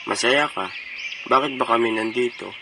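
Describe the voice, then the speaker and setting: A man's voice: mid-range voice, normal speed, lightly acted. A young Filipino man playing a loyal, cheerful college friend in an amateur motion comic. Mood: supportive. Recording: very noisy amateur recording with clicks.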